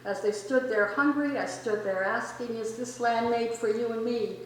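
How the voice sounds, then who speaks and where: A woman's voice: on the high side, steady tone, moderate pace. A woman reading to an audience at a library event, recorded in a large indoor gallery. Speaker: reader at a library poetry event. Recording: very echoey gallery with background noise.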